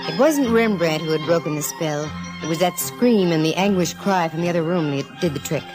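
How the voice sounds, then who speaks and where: A woman's voice: sits low, lively intonation, brisk. An actress playing a wisecracking, self-possessed female private eye in 1940s radio style, dry and slangy. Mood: narrating, wry. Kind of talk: 1940s radio acting, first-person detective narration. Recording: old radio recording, faint music.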